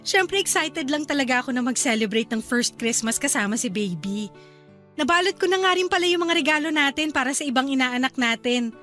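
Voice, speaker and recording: A woman's voice: high-pitched, animated, brisk, a bit bright, soft background music. A woman with a Filipino accent playing a cheerful, eager young wife and mother in a radio-style drama.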